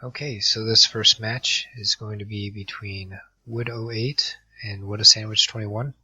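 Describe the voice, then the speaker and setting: A hoarse man with a cold commentating an online card game match, reading out card text with many halting fillers. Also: lowish voice, steady tone, pushed and bright, no echo. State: hoarse from a virus. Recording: dull lo-fi mic with faint music.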